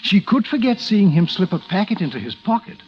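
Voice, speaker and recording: An actor playing a precise, politely menacing literary scholar in a 1940s radio drama. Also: male voice, sits high, sing-song, brisk. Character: scholarly, quietly menacing male blackmailer. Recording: dull, lo-fi old radio sound.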